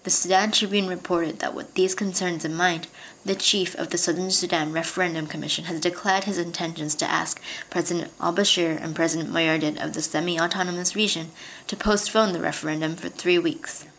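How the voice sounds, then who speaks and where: A woman radio reporter reads a scripted news story. She keeps a measured, formal delivery in a low-pitched voice, with faint noise in the background. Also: steady tone, fairly bright tone, poor audio.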